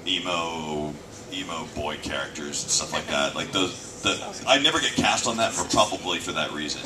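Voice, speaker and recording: A man, a voice actor, answering fan questions at a convention panel in a casual, joking way. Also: low voice. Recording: distant fan-shot recording with noise.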